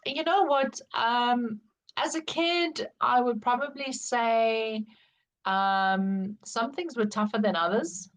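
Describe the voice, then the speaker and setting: A woman's voice: fairly high pitch, takes it slowly, low-quality recording. A middle-aged woman with a South African accent, candidly and reflectively telling her life story as an interview guest. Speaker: podcast guest.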